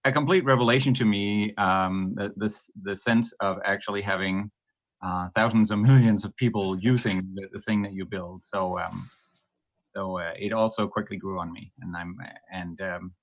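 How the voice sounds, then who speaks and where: A man's voice: low-pitched, steady tone, moderate pace, rough recording. A middle-aged man from Denmark with a Danish accent, a podcast guest who talks hesitantly, with many ums, restarts and light laughs. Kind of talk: podcast interview.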